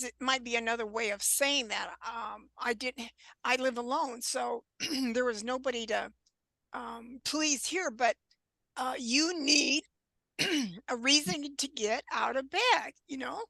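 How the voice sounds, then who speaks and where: A woman in her seventies giving an informal presentation over a video call, unhurried and halting, with a scratchy voice and frequent throat clearing. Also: high-pitched, pushed and bright, dry recording. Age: 70s. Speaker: guest presenter on wellness.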